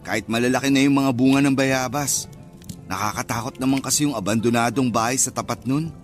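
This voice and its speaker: A man's voice: mid-range voice, fairly even intonation, brisk, faint music behind, natural voice. An actor playing a superstitious, easily spooked young man chatting in slang in a radio drama. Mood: casual. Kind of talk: radio drama dialogue, casual banter.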